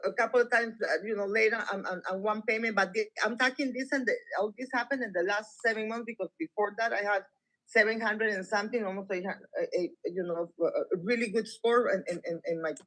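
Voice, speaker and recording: High-pitched male caller, 60s, from Costa Rica; informal, halting, rambling delivery. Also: bright, forceful tone, rough recording. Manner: repetitive, rambling, hesitant speech. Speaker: caller seeking financial help. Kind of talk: halting, informal personal account.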